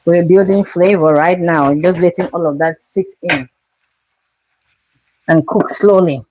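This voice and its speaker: A woman's voice: fairly low pitch, unhurried, a little mellow, poor audio. A woman from Cameroon hosting a live cooking stream from her kitchen, talking viewers through each step and greeting them. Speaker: cooking show host.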